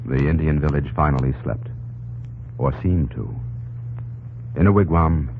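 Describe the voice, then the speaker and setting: A man's voice: rumbling low, measured pace, slight background noise. A man giving dramatic scripted narration for an old-time radio drama. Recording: tinny old radio recording, music behind.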